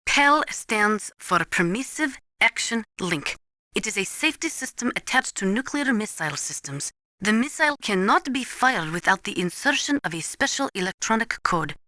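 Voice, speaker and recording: A woman's voice: medium pitch, animated, moderate pace, sharp tone, no room sound, studio quality. A woman playing a serious military weapons expert in a video game, briefing a soldier in a formal, precise, instructive manner. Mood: matter-of-fact. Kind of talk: scripted video game voice acting, explanatory briefing.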